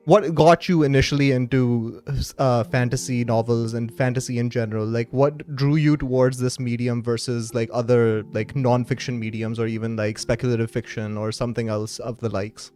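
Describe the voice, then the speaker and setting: A man's voice: medium pitch, steady tone, moderate pace, few pauses, mellow, clean tone. A male podcast host speaks in a mid-range voice, informal and wordy. His sentences ramble a little as he leads a casual interview, with faint music underneath.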